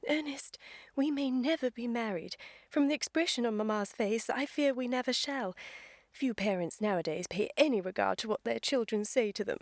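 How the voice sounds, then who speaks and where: A woman's voice: on the high side, moderate pace, no echo, close to an everyday voice. Woman acting a poised society lady, dry sound. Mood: romantic gravity. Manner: formal, commanding, precise. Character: poised, romantic, self-assured young Victorian society lady. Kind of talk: period radio comedy acting.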